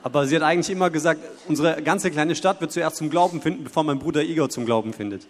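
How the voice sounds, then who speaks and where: A man's voice: a little high, fast. A man interpreting aloud in a church, delivering the message phrase by phrase and sometimes correcting himself. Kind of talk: consecutive interpreting into German. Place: church hall before a congregation. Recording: long hall reverb.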